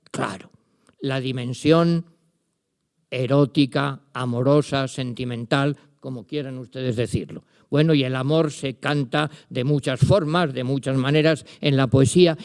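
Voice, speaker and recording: A man's voice: a little high, darkish tone. An older man with a Spanish accent, a literature professor giving a lecture to an audience, speaking directly to his listeners and reciting verse with emphasis. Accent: Spanish from Spain. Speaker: literature professor presenting a book.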